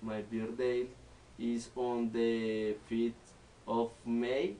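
A young man from Colombia in his twenties, speaking English with a Colombian Spanish accent as a learner and reciting a self-introduction word by word with pauses. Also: medium pitch, little pitch movement, dark tone, distant mic, slight background noise. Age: 20s. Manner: halting, word-by-word delivery with pauses. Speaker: student. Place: small living room at home. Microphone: camera in front of the speaker.

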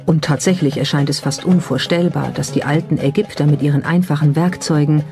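A documentary narrator reading a script in a measured, explanatory way and posing rhetorical questions. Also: medium pitch, fairly even intonation, mellow. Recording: music behind the voice. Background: clearly audible background music.

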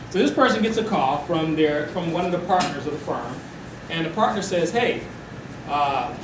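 A man from Detroit giving a loud, animated talk to a room, with no mic. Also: a little high. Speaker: guest speaker. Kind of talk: storytelling presentation. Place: dim lecture room. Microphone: far camera, no mic. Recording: echoey room from far off, hiss.

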